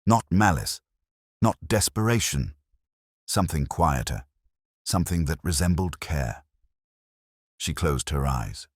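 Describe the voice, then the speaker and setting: A man reading a scripted first-person detective story in a solemn, measured, literary way. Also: extremely low pitch, animated, husky, dry recording, clean studio sound. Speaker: narrator.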